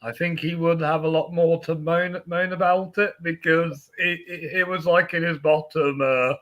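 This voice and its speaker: A man co-hosting a podcast, chatting casually about films in a halting way that tends to trail off. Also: on the high side, steady tone, fairly bright tone, highs cut off. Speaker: podcast co-host. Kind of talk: casual film chat.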